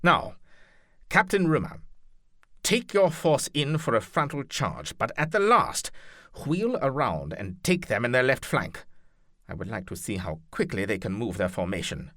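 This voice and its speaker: A man's voice: medium pitch, big pitch swings, measured pace, fairly bright tone, no room sound, studio quality, voice pushed up. A man reading a novel aloud, voicing a stern noble duke who gives clipped, authoritative orders. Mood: commanding. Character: stern noble male duke, cold and commanding. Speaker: duke commanding an army.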